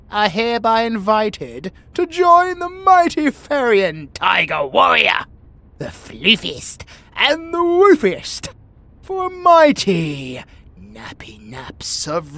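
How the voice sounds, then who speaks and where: A British man voicing a pompous, bossy but secretly affectionate tiger warrior in a comedic, creaky-voiced audio roleplay. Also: very high-pitched, fairly bright tone, no echo, heavily acted. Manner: pompous, grandiose, mock-imperious.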